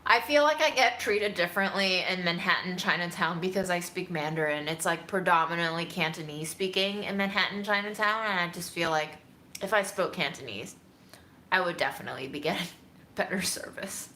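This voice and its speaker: A woman's voice: on the low side, a little fast, sharp tone, a little hiss or hum. A woman chatting casually as she answers viewer questions, musing and easygoing. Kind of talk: casual Q&A chat.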